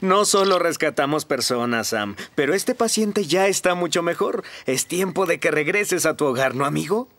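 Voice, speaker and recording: A man's voice: fairly high pitch, expressive, normal speed, few pauses, background noise. A man with a Latin American Spanish accent voicing a friendly, easygoing mountain rescuer in a children's cartoon dub. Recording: loud music behind the voice.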